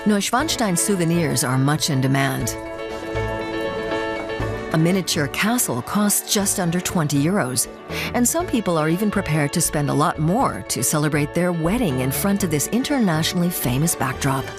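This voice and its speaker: A woman narrates a travel documentary in a notably low register. Her delivery is scripted reportage, read as a voice-over, with music clearly playing underneath. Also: expressive, normal speed. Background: background music.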